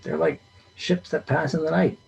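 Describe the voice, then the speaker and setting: A man doing a wistful old man, higher. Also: fairly even intonation, normal speed, creaky, a little mellow, dull audio, slight background noise. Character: weary, wistful older man in his sixties.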